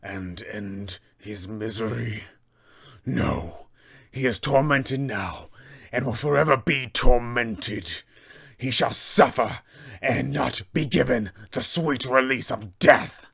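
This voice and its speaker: Guy putting on a slow gravelly undead knight, deep and raspy, kinda far off the mic. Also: fairly bright tone, full character voice. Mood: wrathful. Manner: menacing, grim. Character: vengeful undead male paladin, wrathful.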